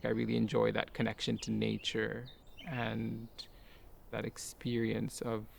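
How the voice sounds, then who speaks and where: A man who grew up in Kuwait, a garden owner and artist, talking in a soft-spoken, musing way with light laughs. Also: fairly low pitch, completely flat delivery, unhurried, creaky, slight background noise. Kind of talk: reflective personal talk for a garden video.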